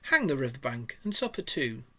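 A man's voice: fairly high pitch, sing-song, fairly quick, fairly bright tone, breathy edge, heavily acted. A man voicing a kindly male water rat who talks sympathetically and cheers his friend heartily in a storybook reading. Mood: hearty.

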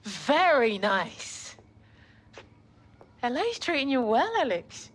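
A middle-aged British mother in a video game drama. She is proud yet guarded, speaking slowly in a high, very lively voice over music. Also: full character voice. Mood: teasing, fond.

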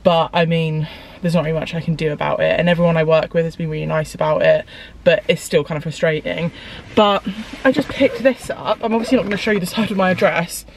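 A young woman from the UK rambles through her day for a vlog, her speech full of "um" and "like". Her voice is a little croaky today. A faint hum sits under the recording, first from a parked car and later from a home hallway. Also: deep voice, steady tone, quick pace, hardly any pauses. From United Kingdom. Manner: chatty, rambling, frequent fillers. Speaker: vlogger. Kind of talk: casual vlog chat to camera. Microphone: camera on dashboard, then handheld camera.

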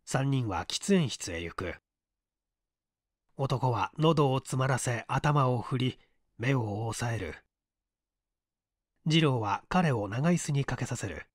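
A man reading a literary story as dramatic narration in a measured, deliberate way. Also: mid-range voice, animated, husky, no room sound, clean studio sound. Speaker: story reader.

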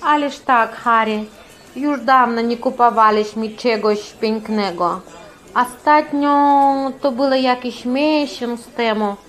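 A woman's voice: fairly high pitch, slow, fairly bright tone. A woman playing a rich man's luxury-loving wife in a cartoon, with an affected manner. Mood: pleased.

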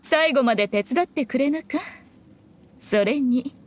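A woman's voice: high voice, measured pace. A woman voicing a sly, elegant fox shrine maiden in an anime game, speaking in an archaic, playfully haughty way. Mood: teasing. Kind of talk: anime video game voice acting.

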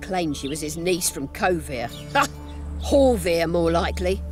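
An actress playing a fiery, sharp-tongued townswoman in a video game, speaking in a blunt, earthy, expressive way. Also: on the low side, a little slow. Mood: scornful, indignant. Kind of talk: video game cutscene acting. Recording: music behind the voice.

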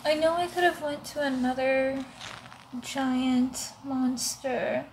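Young female, high-pitched and unhurried, soft-spoken ASMR roleplay as a gentle monster. Noisy living-room recording. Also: level tone. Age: young adult. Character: gentle giant female monster with sore feet. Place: living room, indoors on a couch.

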